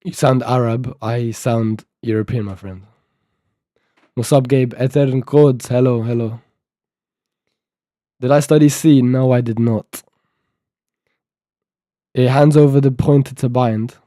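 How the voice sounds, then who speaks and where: A man's voice: medium pitch, fairly even intonation, measured pace, pauses often, warm tone, no echo, studio quality. An eighteen-year-old man streaming live, thinking aloud and half-reading documentation to himself as he codes, with a sore throat. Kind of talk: casual live-stream talk while coding.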